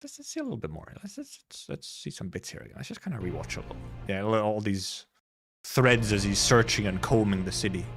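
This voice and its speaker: Animated male streamer reacting, rambling. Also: fairly low pitch, brisk, husky. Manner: rambling, repeats himself, playful, swears casually. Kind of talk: casual game trailer reaction commentary. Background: faint music.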